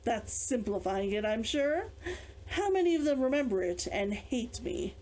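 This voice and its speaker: A woman's voice: fairly high pitch, sing-song, unhurried, a little mellow, slight background noise, rough recording. A woman reading aloud a stubborn, guarded young storybook heroine with an exasperated, defensive manner.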